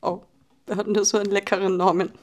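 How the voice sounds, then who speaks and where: A woman's voice: medium pitch, flat delivery, fast talker, darkish tone. A woman from Carinthia in Austria co-hosting a podcast with casual, playfully combative banter. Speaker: podcast co-host.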